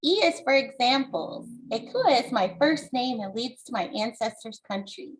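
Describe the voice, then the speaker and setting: A woman from the United States, an author reading her book aloud and explaining it to young listeners in a deliberate, encouraging, instructive way. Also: on the high side, expressive, poor audio.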